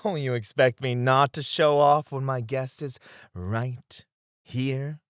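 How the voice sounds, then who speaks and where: A man playing a suave, playful club host in a flirty ASMR roleplay, with smooth, teasing, theatrical hospitality. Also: medium pitch, steady tone, slow, dry recording, studio quality, natural voice. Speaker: club host showing a guest around.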